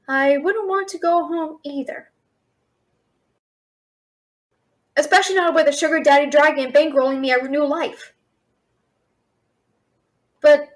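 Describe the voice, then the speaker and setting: A woman playing a feisty young thief and alchemist held captive by a dragon, expressive and sassy in a one-sided fantasy audio roleplay. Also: sits high. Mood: thoughtful, wry. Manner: expressive, incredulous, sassy, questioning.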